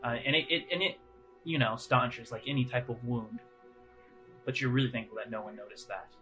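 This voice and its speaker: A man's voice: mid-range voice, sharp tone. Man narrating a tabletop game over music. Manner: halting, conversational descriptions. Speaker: game master. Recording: music playing under the voice. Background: background music.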